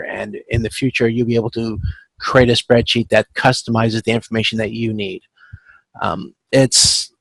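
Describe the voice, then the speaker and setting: A man with a creaky voice working as an instructor, giving an informal, explanatory step-by-step tutorial. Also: medium pitch, fairly bright tone, no echo, rough recording. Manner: explanatory, checks in with frequent "okay". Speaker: instructor leading a supply chain class tutorial. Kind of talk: informal step-by-step tutorial over video conference. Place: close, dry-sounding video call.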